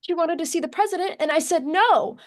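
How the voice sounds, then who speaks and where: A woman playing a flustered, eager-to-please presidential secretary, nervous, halting and earnest, in a comedy play table read. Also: very high-pitched. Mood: earnestly assertive.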